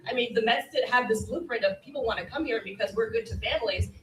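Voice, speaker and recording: A woman's voice: on the high side, fast talker, slight background noise. A woman speaking as a sports reporter, chatting casually on a live panel. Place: live convention stage. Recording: echoey room, far from microphone.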